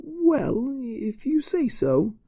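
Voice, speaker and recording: A reader voicing a concerned, polite female pony schoolteacher with a gentle, hesitant manner in a storybook reading. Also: mid-range voice, big pitch swings, drawn-out words, gravelly, breathy edge, dry recording, voice pushed up, full character voice. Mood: doubtful. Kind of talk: storybook character voice in fan fiction reading.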